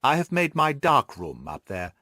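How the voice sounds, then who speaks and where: A man voicing a jovial, patronising middle-aged country gentleman in an audiobook story, with a jesting, exclamatory manner. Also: mid-range voice, wildly swooping pitch, normal speed, no room sound, clean studio sound.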